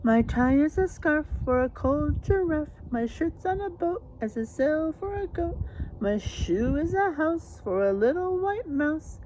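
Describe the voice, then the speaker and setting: A woman voicing a kind male giant in a children's storybook, with a singsong, expressive delivery. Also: high-pitched, a little slow, lo-fi sound, a little hiss or hum, pitched above the performer's own voice. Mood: cheerful singing.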